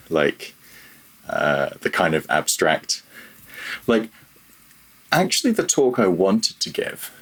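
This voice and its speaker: Very deep-voiced man, slightly husky, candid. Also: fairly bright tone. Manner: candid, self-deprecating, wry. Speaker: podcast guest, audio software developer. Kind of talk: casual interview talk.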